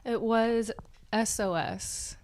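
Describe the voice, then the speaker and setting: A woman co-hosting a podcast in casual comedic chat, halting and often trailing off. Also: a little high, steady tone, darkish tone. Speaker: podcast co-host.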